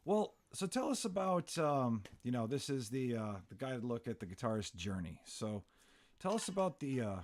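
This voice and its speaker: A man's voice: medium pitch, sing-song, moderate pace, darkish tone, slight background noise. A man hosting a casual interview, chatty and friendly, asking open questions. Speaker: podcast host.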